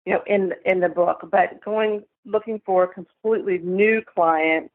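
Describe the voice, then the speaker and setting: A woman's voice: lowish voice, fairly even intonation, a little slow. A woman co-hosting a podcast in casual chat, hesitant and full of fillers as she asks questions. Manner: hesitant, many "um" and "you know". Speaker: podcast co-host. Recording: tinny phone line.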